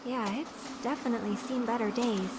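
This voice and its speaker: A woman's voice: fairly high pitch, steady tone, moderate pace, natural voice. A woman voicing an easygoing young woman adventurer in a video game, casual and teasing. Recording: clear background noise.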